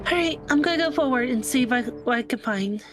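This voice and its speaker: A woman's voice: high-pitched, animated, quick pace. A woman chatting casually as a player in a tabletop game, announcing her turn and rolls. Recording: game music playing behind.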